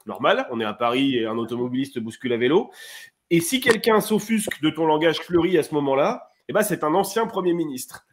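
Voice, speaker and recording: A man's voice: a little high, fairly bright tone. A man hosting a casual political chat, joking and tripping over his own words. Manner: joking, stumbles and repeats words. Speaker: host. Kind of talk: casual chat on a live stream.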